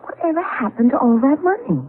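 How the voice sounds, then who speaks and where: Excitable radio-drama wife, widely swinging voice. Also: female voice, high voice, fairly quick. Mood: playful. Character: playful, excitable wife enchanted by her old house. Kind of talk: 1970s radio drama acting. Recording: tinny band-limited vintage radio recording.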